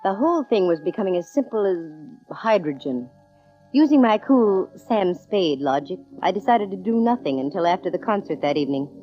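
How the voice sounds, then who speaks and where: An actress playing a cool, wisecracking female private detective in 1940s radio style, brisk, dry and self-assured. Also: fairly low pitch, sing-song. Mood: wry narrating. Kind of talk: 1940s radio acting, first-person detective narration. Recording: dull vintage radio recording, music underneath.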